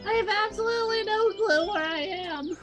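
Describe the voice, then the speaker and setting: A man voicing a bewildered visual novel protagonist thinking aloud in a silly squeaky falsetto. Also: normal speed. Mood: bewildered musing. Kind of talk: silly amateur game dubbing. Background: game music.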